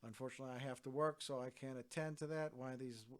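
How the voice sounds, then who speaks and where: A man talks through the weekend's listings at home, his voice sitting at an ordinary middle pitch. He speaks loosely and conversationally, with lots of "uh"s and the odd wry joke. The feel is an easygoing solo video host. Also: quick pace. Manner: relaxed and chatty with frequent "uh" fillers and dry asides. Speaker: host of a wrestling events roundup show. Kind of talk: casual solo roundup, talking to camera.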